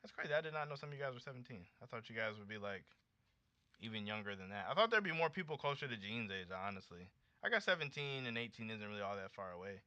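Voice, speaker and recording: An American man in his late twenties hosting a casual livestream, chatting with viewers in a slangy, animated, conversational way. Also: fairly low pitch, fast, dry recording. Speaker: livestream host. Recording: dull lo-fi streaming audio.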